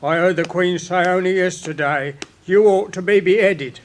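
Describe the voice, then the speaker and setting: An actor playing a plaintive, squabbling gardener, defensive and complaining, in a 1950s British audio drama. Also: male voice, high-pitched, steady tone, normal speed, close to an everyday voice. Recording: noisy old 1950s recording.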